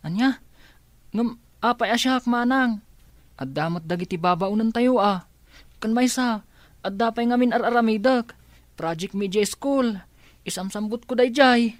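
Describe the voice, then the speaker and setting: A Filipino actress in a radio drama playing a submissive younger sibling, a student, who hesitates and then complies. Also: female voice, fairly high pitch, measured pace, pauses often, no echo, close to an everyday voice. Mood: puzzled, reluctant.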